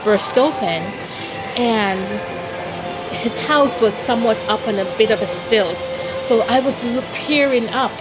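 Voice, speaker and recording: A woman from Jamaica telling personal stories as an interview guest, in a deliberate, expressive way. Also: on the high side, loud music behind the voice, poor audio. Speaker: interview guest, founder of a philanthropy organization.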